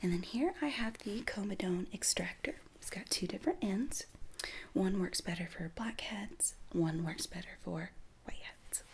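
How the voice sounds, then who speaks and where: A woman speaking in a soft, breathy near-whisper, gently reassuring as she plays a dermatologist in an ASMR roleplay, explaining each step. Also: mid-range voice, moderate pace. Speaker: ASMR performer playing a dermatologist.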